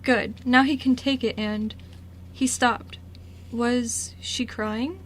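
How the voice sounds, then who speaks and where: A woman's voice: on the high side, steady tone, slow pace. A woman reading scripted story narration for an amateur radio drama. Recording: noisy amateur radio recording.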